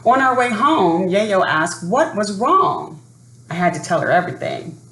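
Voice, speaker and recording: A woman reading a children's picture book aloud in an expressive, unhurried manner. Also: mid-range voice. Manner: expressive, unhurried, adds asides to listeners. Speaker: storybook reader. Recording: roomy echo, faint background noise.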